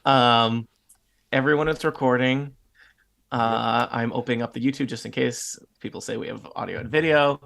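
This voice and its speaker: Slightly raspy man running a game. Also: mid-range voice, fairly even intonation, moderate pace, fairly bright tone. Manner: loose, rambling, self-mocking. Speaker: game master. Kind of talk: casual chat before a tabletop game.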